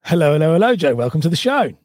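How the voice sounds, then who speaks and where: A man with a hoarse voice hosting a podcast, asking eager, rambling questions in casual interview chat. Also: a little high, sing-song, racing, noisy background. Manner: enthusiastic, rambling questions with many restarts. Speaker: podcast host.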